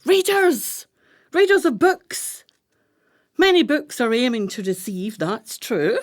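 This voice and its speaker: An actress playing a brisk-minded, commanding woman plotter in a radio play, with a deliberate, declamatory delivery. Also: high voice, big pitch swings, full character voice. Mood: rousing, determined.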